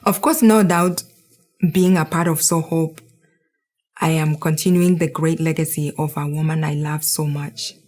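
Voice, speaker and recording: A woman's voice: low-pitched, fairly even intonation, measured pace, a little mellow, faint music behind. A woman from Kenya, in her thirties, giving an earnest, heartfelt speech as a board member at a charity gala.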